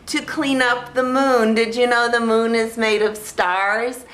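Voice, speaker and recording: A woman vlog host talking casually and ramblingly in a naive, childlike way, halting and repeating phrases as she searches for words. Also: a little high, level tone, fairly bright tone, roomy sound. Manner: childlike, naive delivery in simple sentences. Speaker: vlog host reviewing films. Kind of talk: casual rambling talk to camera.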